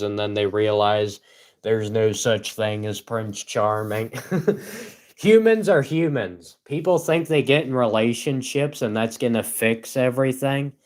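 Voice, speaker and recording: A gruff young man talks on his livestream in a cynical, rambling way. His voice sits on the low side, and he often chuckles between his points. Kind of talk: casual solo livestream rant.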